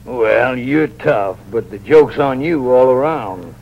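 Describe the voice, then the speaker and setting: An actor playing a folksy older sheriff who needles wryly, in an old-time radio crime drama. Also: male voice, mid-range voice, expressive, slow, creaky, exaggerated voice. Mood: wry needling. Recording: dull vintage radio recording.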